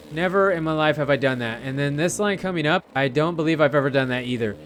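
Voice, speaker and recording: A man's voice: fairly high pitch, slight background noise. A man giving casual, rambling gameplay commentary as a video creator, often repeating phrases for emphasis. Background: racing game vehicle engine sounds.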